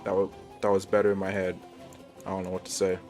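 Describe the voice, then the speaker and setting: Low creaky-voiced man livestreaming. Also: completely flat delivery, quick pace, darkish tone, soft background music. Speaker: game livestreamer. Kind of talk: casual reactive gameplay commentary.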